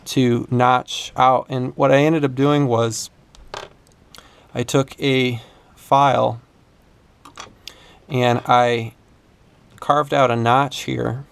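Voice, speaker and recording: A man explaining a hands-on repair casually, in a hesitant, halting way. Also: mid-range voice, creaky, clean tone, no room sound. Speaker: repair video host.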